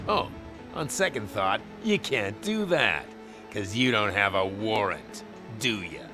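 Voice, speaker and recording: A man's voice: on the low side, big pitch swings, takes it slowly, music in the background. An actor playing an irritated, evasive shady businessman in a video game, dismissive and sarcastically taunting. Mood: smug, sarcastic.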